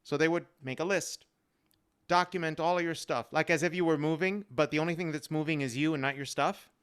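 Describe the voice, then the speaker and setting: A man with a resonant, clean voice that sits a little high. He speaks as a guest on an online panel. His storytelling is earnest and personal, leaning on repeated phrases for emphasis. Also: a little fast, a bit bright, clear, resonant tone. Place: remote live-stream video call.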